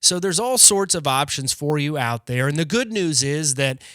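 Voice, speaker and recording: A man in a slightly higher register gives a plain-spoken, explanatory rundown of the housing market. His voice is smooth and resonant. The recording is dry, with no room sound. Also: lively intonation, moderate pace, fairly bright tone, dry recording. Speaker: real estate podcast host. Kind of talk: casual market update.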